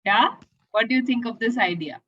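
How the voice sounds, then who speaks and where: A woman's voice: fairly high pitch, normal speed, bright, forceful tone, a little hiss or hum. A woman hosting a children's read-aloud session, chatting casually and encouraging with questions over a lo-fi video call. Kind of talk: casual conversational chat with a child. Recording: dull lo-fi online call audio.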